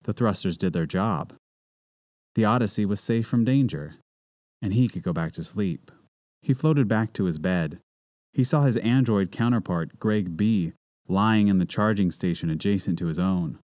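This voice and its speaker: A man's voice: lowish voice, normal speed, a little mellow, no echo. A man delivering scripted narration as a voice-over artist, close on the mic.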